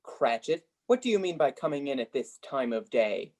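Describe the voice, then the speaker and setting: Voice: mid-range voice, very animated, normal speed, a little mellow. A young performer playing a giddy, generous reformed old miser in a 1930s-style radio play, hearty and exclamatory. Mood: mock stern. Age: young adult. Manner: exclamatory, hearty, courtly old-fashioned phrasing.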